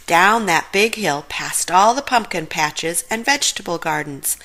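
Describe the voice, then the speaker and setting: Casting: woman playing upbeat young female cartoon sleuth, reasonable, bright, animated. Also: on the low side, measured pace, poor audio. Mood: cheerful.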